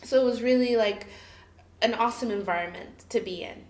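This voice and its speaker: A woman from Cameroon who grew up in the UAE, a guest telling her life story in a casual, chatty way, full of "like" and "you know" and quick laughs. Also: a little high, darkish tone. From Cameroon, raised in the UAE. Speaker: interview guest. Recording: echoey room.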